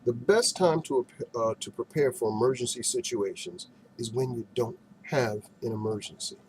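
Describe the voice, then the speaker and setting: A man with a mid-pitched voice presents practical advice as a show host. He sounds folksy and instructive, stressing key points and posing questions to the viewer. The amateur recording carries some background noise. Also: normal speed, darkish tone, poor audio. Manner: folksy, instructive, emphatic phrasing with rhetorical questions. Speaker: financial advisor hosting an advice segment. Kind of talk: direct-to-camera advice and product show-and-tell.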